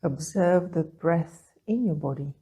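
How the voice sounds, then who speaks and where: A woman leading a guided meditation, speaking in a soothing, gentle way with long pauses between phrases. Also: low-pitched, husky. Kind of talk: guided meditation, calm instruction.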